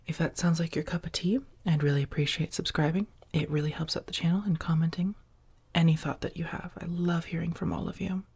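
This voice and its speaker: A woman speaking softly and breathily, half-whispering as an ASMR creator addressing her listeners. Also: on the low side, slightly rough. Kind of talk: soft-spoken outro addressed to listeners.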